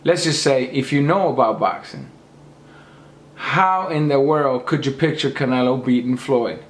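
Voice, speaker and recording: A man's voice: medium pitch, unhurried, roomy sound. A man losing his voice, ranting emphatically about boxing and lecturing his viewers. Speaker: boxing commentator. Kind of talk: opinionated rant to camera.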